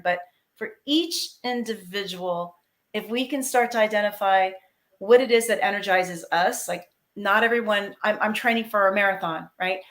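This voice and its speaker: A woman's voice: medium pitch, fairly bright tone. Earnest woman mentor on a video call. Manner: earnest, encouraging advice-giver. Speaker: mentor expert. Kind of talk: casual panel chat.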